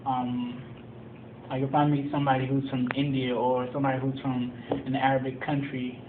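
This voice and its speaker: A young man from Tanzania, a college student, answering interview questions in a measured, explanatory way with many pauses and ums. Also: mid-range voice, flat delivery. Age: young adult. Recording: echoey room over a tinny phone-like line with background noise.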